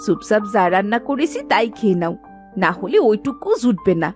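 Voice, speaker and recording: A woman voicing an inquisitive, sharp-tongued village housewife in scripted cartoon dialogue. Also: mid-range voice, lively intonation, a little fast, faint music behind, natural voice. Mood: scolding. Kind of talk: scripted cartoon dialogue in a Bengali folk tale.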